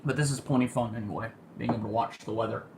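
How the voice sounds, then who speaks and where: American dude doing a casual weather livestream, kinda croaky voice, bit of noise. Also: male voice, fairly low pitch, steady tone, fast talker, darkish tone. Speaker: livestream host, amateur storm watcher. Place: indoor room at home. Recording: noisy amateur livestream audio.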